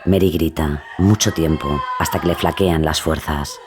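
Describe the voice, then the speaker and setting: A male narrator tells a scripted crime story in a very low voice. The delivery is that of dramatized true crime. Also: steady tone, moderate pace, over music.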